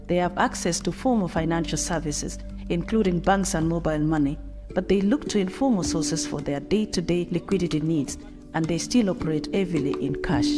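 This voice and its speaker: A woman narrates a documentary script in an even, measured delivery. Her voice sits low for a woman, and clear instrumental music plays beneath her.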